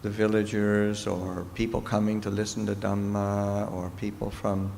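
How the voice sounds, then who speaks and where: A middle-aged Canadian-raised monk answers questions slowly and thoughtfully, pausing often. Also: male voice, on the low side, completely flat delivery, creaky, darkish tone. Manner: slow, halting, thoughtful speech with pauses. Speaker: Buddhist monk and teacher. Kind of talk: Dharma talk Q&A answer. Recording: reverberant hall with faint noise.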